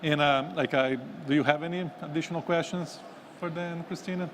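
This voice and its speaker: A man's voice: on the high side, steady tone, a little fast, a bit bright. A man moderating a live panel in a casual conversational style, introducing and questioning guests. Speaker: panel moderator, team member. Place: live stream stage at a busy event. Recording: noisy event floor ambience.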